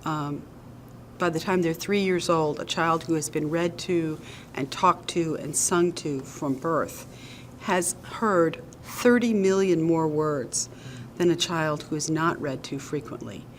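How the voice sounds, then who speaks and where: A woman being interviewed as a nonprofit's executive director. She explains her program in a measured, earnest way, with an occasional um or uh. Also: deep voice, a little hiss or hum.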